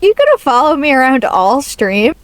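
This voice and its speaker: A woman's voice: high-pitched, big pitch swings, normal speed. A woman streamer chatting casually while she plays a game. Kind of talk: casual live-stream chat.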